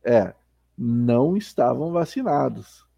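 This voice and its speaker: A Brazilian man co-hosting a podcast, chatting in an animated way and breaking into loud exclamations. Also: mid-range voice, slow, vocal fry, breathy edge. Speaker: podcast co-host. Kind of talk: casual podcast banter.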